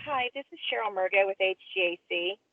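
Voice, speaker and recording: A woman's voice: mid-range voice, big pitch swings, quick pace, vocal fry. A woman calling in from a car, giving informal advice on a conference call over the phone. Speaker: regional council staffer on call. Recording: dry, band-limited phone line.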